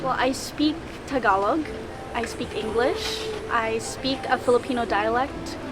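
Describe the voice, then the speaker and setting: A woman's voice: on the high side, unhurried. A woman answering a street interview, friendly and hesitant, with many "um"s. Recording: crowd and street noise behind. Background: crowd and street noise.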